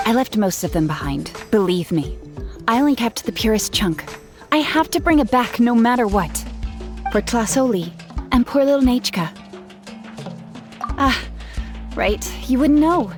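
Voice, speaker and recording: A woman's voice: fairly high pitch, expressive, unhurried, pauses often. A woman playing an earnest, kind young female gem artisan in a video game, speaking in a conversational storytelling way. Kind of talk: video game character acting. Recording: music playing behind.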